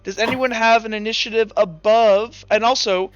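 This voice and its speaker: A man's voice: sits high, steady tone, normal speed, clear, resonant tone, no echo. A man acting as game master, improvising narration and handling table talk in a tabletop roleplaying game. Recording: dull muffled audio, music behind.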